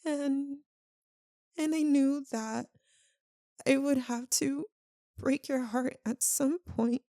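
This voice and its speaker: A woman playing an anguished, lovesick vampire in an intimate ASMR roleplay, speaking softly and haltingly with emotion. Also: sits high, steady tone, dry recording, studio quality. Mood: tender, tearful. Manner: halting, hushed, emotional delivery with long pauses.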